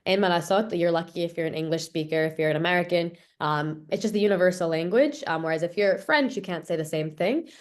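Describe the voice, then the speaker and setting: Young woman, slightly low pitch, student panelist, casual explanation, video call. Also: quick pace, few pauses. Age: young adult.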